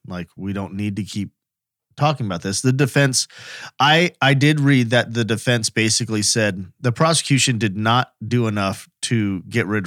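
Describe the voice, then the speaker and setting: Lowish-voiced man in casual podcast chat. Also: a bit bright, dry recording, clean studio sound. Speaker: podcast co-host.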